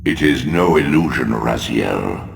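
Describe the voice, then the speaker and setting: An actor playing an old, scheming man who sees through time, speaking in a measured, cryptic way. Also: lively intonation, creaky, exaggerated voice. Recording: roomy hall reverb, loud rumbling noise.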